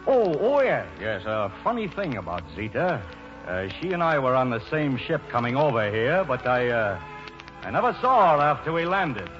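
An actor in a 1940s radio play as a roguish, grandly romantic American actor who declaims poetically. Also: male voice, medium pitch, sing-song, moderate pace, fairly bright tone. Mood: evasive, breezy. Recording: muffled old radio broadcast, music behind.

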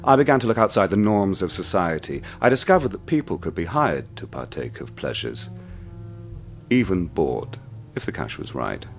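A man playing a cold killer narrating his own story in a radio play. His voice is very deep and gravelly, but it moves lively through its range. Faint music plays under the narration. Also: exaggerated voice. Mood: brooding. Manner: slow, brooding confession. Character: cold, self-satisfied male killer looking back. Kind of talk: dark first-person narration in an audio drama.

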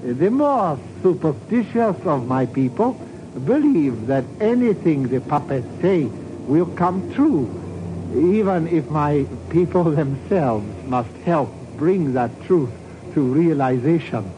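Grave, formal, higher-voiced Indonesian official. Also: male voice, big pitch swings, takes it slowly, lo-fi sound. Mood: grave, ominous. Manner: formal, polite, ominous. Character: courteous, grave male Indonesian government oil geologist. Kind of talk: 1950s radio drama acting. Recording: noisy 1950s radio recording.

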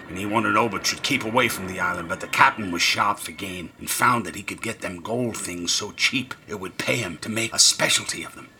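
A half-mad old New sailor in a radio drama. His voice is low-pitched and piercingly sharp, full of grammar, secrecy and fear. A faint ambience sits behind him. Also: gravelly, slight background noise, heavily acted. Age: older. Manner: folksy, dropped grammar, dramatic whispers rising to shrieks. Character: rambling, half-crazed old drunken sailor, secretive and fearful.

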